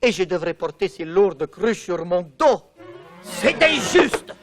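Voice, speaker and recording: High swooping voice, aggrieved poor water carrier, plaintive, slow, cartoon dub. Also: male voice, big pitch swings, over loud music, full character voice. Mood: lamenting. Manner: plaintive, exclamatory. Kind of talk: French cartoon dub acting.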